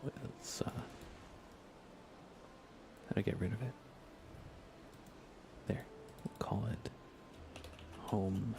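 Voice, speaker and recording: A man softly commentating on a relaxed ASMR gaming livestream, often near a whisper, musing aloud casually with dry humor. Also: on the low side, drawn-out words, vocal fry, long pauses, background noise. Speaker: live streamer, host.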